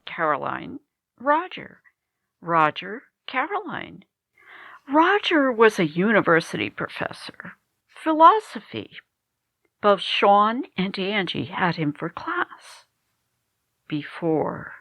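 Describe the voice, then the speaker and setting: A woman playing a tense, weary hostess in a dangerous world in an audio drama, speaking in a measured, guarded way. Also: mid-range voice, very animated, vocal fry, a bit bright, no echo, exaggerated voice. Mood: anxious hospitality.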